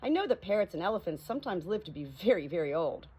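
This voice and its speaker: A woman's voice: lowish voice, very animated, quick pace, dark tone. A woman voicing a mild, polite male country doctor in an audiobook, attentive and inquisitive. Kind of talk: audiobook character dialogue. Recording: clearly noisy home recording.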